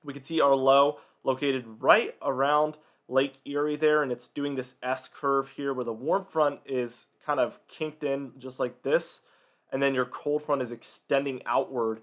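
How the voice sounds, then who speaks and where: Guy doing a casual weather forecast video, medium pitch, bit stuffy and hoarse from a cold. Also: fairly even intonation, measured pace, fairly bright tone. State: has a cold, slightly nasal or hoarse. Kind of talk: informal weather briefing commentary.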